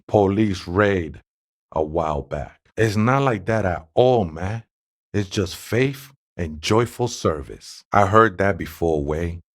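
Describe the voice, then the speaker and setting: An actor playing a serene, devout ex-gang man with a Mexican accent who talks in laid-back, reverent street slang for a video game. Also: low voice. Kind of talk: video game character dialogue.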